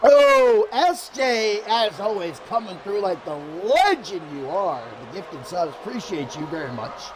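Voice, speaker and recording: A man calling a wrestling match as play-by-play commentator, excitable and shouting at big moves, his voice going hoarse. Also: high-pitched, measured pace, few pauses, a crowd in the background, poor audio. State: losing his voice. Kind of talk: live streamed commentary.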